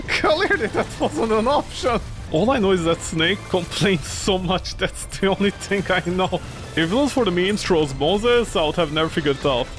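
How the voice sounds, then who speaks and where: Non-native guy streaming a game, pretty high voice, rambles and swears, loud game music under him. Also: expressive, a little slow. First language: not English; grew up with French, Italian. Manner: rambling, swearing, jumps between loud outbursts and low mumbles. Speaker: gaming streamer. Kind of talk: casual let's-play commentary.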